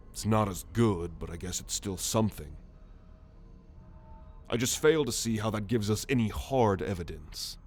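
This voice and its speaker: A man voicing a proud, gruff alien military renegade in an ASMR roleplay, haughty and sardonic in a measured delivery. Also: low voice, a little hiss or hum. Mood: grim, reflective. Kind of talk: ASMR roleplay monologue to the listener.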